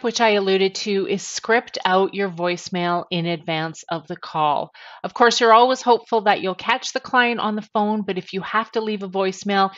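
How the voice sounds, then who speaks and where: A woman's voice: medium pitch, fairly bright tone. A woman in her forties giving instructional tips to camera as a trainer, stressing each point step by step. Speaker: sales trainer presenting tips. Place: small attic bedroom, indoors. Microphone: headset. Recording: dull, lo-fi headset sound.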